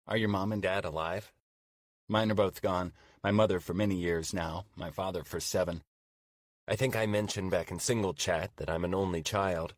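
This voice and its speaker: Guy playing an older gentleman reading out his emails, lowish voice, chatty and polite, audiobook, dry close sound. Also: fairly even intonation, normal speed, no room sound, close to an everyday voice. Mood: courteous, chatty. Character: courteous, chatty, older single man writing courtship emails. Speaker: audiobook narrator. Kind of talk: scripted audiobook narration.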